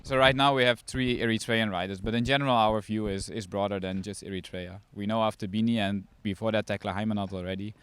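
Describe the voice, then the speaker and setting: Smooth-voiced team director. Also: male voice, fairly low pitch, fairly even intonation, fast, hardly any pauses, fairly bright tone, no echo. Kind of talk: interview answers.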